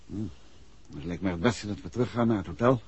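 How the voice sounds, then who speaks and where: An actor playing a calm, wry, polite amateur detective out with his wife in a 1960s Dutch radio drama. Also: on the low side, fast talker, breathy edge. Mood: alert, matter-of-fact. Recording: dull, noisy 1960s radio recording.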